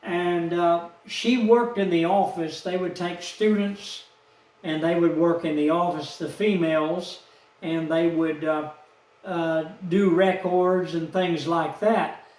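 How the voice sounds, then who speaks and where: A man, telling a rambling personal story in a slow, aggrieved, folksy way. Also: a little high, steady tone, a little mellow, recorded from a distance. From Texas, USA. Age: 70s. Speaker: vlogger. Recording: echoey room, off-mic.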